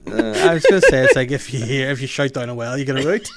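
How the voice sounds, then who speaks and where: Lively, laughing man on a podcast. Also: medium pitch, fast talker, a little hiss or hum. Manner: animated, laughs often, slips into mock accents. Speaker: podcast host. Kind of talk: casual podcast chat.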